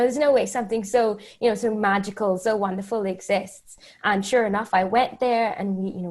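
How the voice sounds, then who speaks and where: A woman from the Bahamas with a mid-range voice, talking as a guest in a casual interview. She tells personal stories in an animated, conversational way. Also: mid-range voice.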